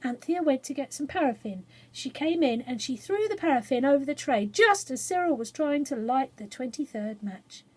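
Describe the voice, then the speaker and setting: A woman's voice: sits high, lively intonation, normal speed, hardly any pauses, low-quality recording. An English woman chatting informally and reading a storybook aloud to children, self-deprecating and explaining things simply. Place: small spare room study at home.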